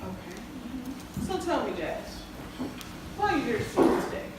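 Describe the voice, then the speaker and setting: A woman's voice: a little high, sing-song, normal speed. A woman acting a composed, polite counselor who questions her clients in a stage play. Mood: polite, probing. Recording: very echoey stage, distant mic, noisy.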